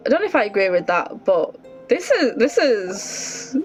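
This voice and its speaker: A woman's voice: medium pitch, big pitch swings, normal speed, faint music behind. A woman giving casual, reactive commentary as she streams a video game.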